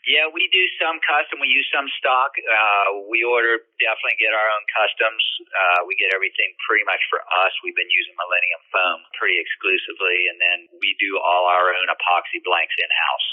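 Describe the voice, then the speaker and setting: A man's voice: sits low, a little fast, few pauses. An older man from the Bahamas who shapes surfboards, answering interview questions casually and loosely, with plenty of fillers and restarts. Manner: relaxed, rambling with fillers and restarts. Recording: tinny phone-like line.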